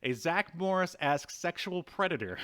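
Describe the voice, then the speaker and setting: Man casually recapping a film, wry and halting. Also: high voice, expressive, a bit bright, clean tone. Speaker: co-host of a movie review show. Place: indoors at home, video call.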